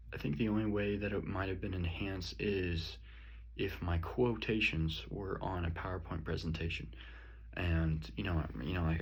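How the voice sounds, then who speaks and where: A young man from the country with a slight rural twang and a very deep voice, talking slowly and haltingly through a reflection on his own speech, full of ums and restarts. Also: a little mellow, slight background noise. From rural area. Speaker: student. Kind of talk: spoken self-reflection on a speech. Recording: dull lo-fi recording.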